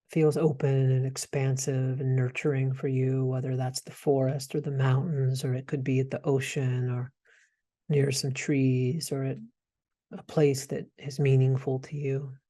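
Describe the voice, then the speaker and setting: A man guides a meditation in a dark, relaxed voice. His delivery is very slow and gentle, with soft pauses between hesitant phrases. The melody stays quite flat and even throughout. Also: mid-range voice.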